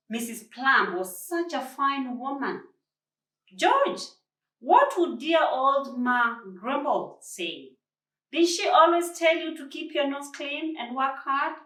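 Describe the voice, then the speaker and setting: A woman's voice: high-pitched, sharp tone, voice pushed up, full character voice. A woman voicing a stern, motherly storybook character who scolds with commanding, emphatic authority. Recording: roomy echo, distant mic.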